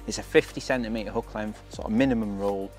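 A man with an English accent giving explanatory highlights commentary on a fishing match. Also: steady tone, fast talker, a little mellow, faint music behind.

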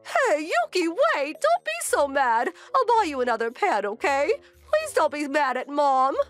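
A woman puts on a flustered middle-aged mother-in-law for a cartoon story. The voice is shrill and high, leaping about as she whines and pleads in panic over background music. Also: sing-song, measured pace. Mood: panicked pleading. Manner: panicky, whining. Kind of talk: exaggerated cartoon-style manga dub.

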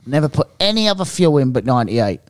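A middle-aged man co-hosting a casual podcast. He talks in a blunt, emphatic way and sometimes turns to the listeners as "ladies and gentlemen." He speaks into a handheld mic in a hotel room. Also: medium pitch, sing-song, fast, no room sound. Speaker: podcast co-host. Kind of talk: casual chat. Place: hotel room by a big window. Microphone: handheld mic with headphones.

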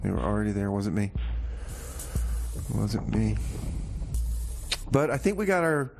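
A man's voice: fairly low pitch, sing-song, a little slow, vocal fry, darkish tone, a little hiss or hum. A man hosting a community radio show with casual, comedic DJ patter, rambling in a folksy way and repeating his phrases.

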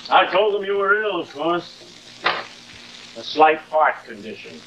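A man's voice: a little high, sing-song, slow pace, heavily acted. A man playing a worried, businesslike middle-aged associate in an old television drama. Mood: concerned, insistent. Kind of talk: 1950s television drama acting. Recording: hissy old television soundtrack.

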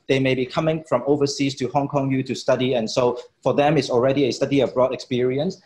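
Male professor hosting with fillers. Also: medium pitch. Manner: friendly, halting, many fillers. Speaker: professor hosting an admissions talk. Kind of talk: presentation and moderated panel. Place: studio, indoors.

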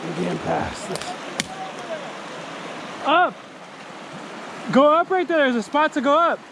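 A man's voice: extremely high pitch, very animated, slow, gravelly, noisy background. A man exploring outdoors by a mountain river, chatting in a laid-back, slangy way. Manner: laid-back, slangy, says bro and dope. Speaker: vlogger, co-host exploring. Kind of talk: casual on-camera exploring chat.

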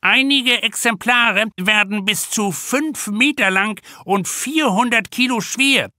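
A pedantic male holidaymaker from a comic crime audiobook, voiced by a male narrator. He declaims like an expert in a raised, bright voice, recorded dry. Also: high voice, takes it slowly, dry recording, pitched above the performer's own voice, exaggerated voice. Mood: self-important. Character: know-it-all naked male beach holidaymaker lecturing on fish.

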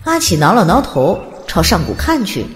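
A woman's voice: fairly low pitch, wildly swooping pitch, normal speed. Woman narrating a story in long reverb. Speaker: audiobook narrator. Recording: long reverb, music underneath. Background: music.

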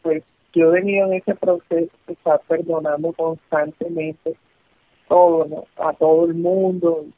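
A man's voice: a little high, little pitch movement, normal speed, no echo, narrow, tinny audio. A man calling into a radio show, talking hesitantly with restarts. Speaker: caller. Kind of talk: phone conversation.

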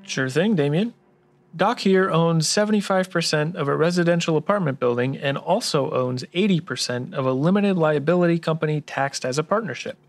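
A man's voice: a little high, a little fast, close to an everyday voice. A man playing an obliging tax explainer sidekick, giving orderly scripted explanations in a radio drama. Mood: helpful. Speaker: tax expert co-host.

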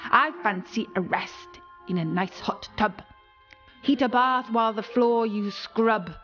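A woman voicing an old male sorcerer who gives commands in measured, rhyming incantations. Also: fairly high pitch, fairly bright tone, dull audio, soft background music. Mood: commanding.

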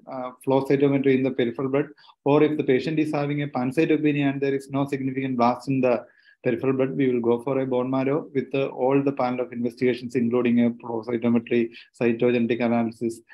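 A man in his thirties with an Indian accent, a doctor explaining haltingly as a panelist on a video call. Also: mid-range voice. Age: 30s. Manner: halting, hesitant delivery with frequent pauses. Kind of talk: explanatory medical panel answer. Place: small room indoors. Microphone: laptop on a video call. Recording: dull lo-fi video call audio.

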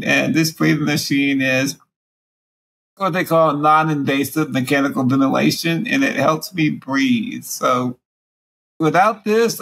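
Raspy man speaking through a breathing machine. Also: fairly high pitch, fairly bright tone, rough recording. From Alabama. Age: 40s. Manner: speaks around a breathing machine mouthpiece, pausing often. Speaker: guest. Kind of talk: candid personal interview. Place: small room at home, indoors. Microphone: video call.

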